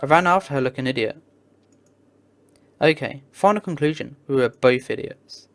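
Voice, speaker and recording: A woman's voice: a bass voice, expressive, moderate pace, soft background music. A woman reading a visual novel aloud as narration, stumbling now and then and adding casual asides.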